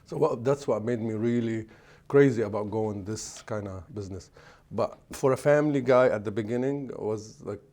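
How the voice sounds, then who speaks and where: Halting middle-aged man. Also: fairly low pitch, darkish tone. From Lebanon. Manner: halting, searching for words with many restarts. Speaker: executive chef. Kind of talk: casual interview answers.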